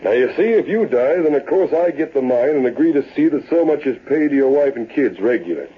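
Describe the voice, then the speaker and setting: An actor playing a greedy, scheming Western miner, boastful and folksy, with an American frontier drawl in old radio-western style. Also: male voice, medium pitch, animated, a little fast, slightly rough. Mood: sly, persuasive. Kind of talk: 1930s-40s radio western acting. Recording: tinny, hissy old-time radio recording.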